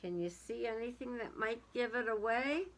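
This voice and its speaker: A woman's voice: on the high side, normal speed. A woman reading a picture book aloud to young children, dramatic in the story and casual in asides that put questions to the listeners.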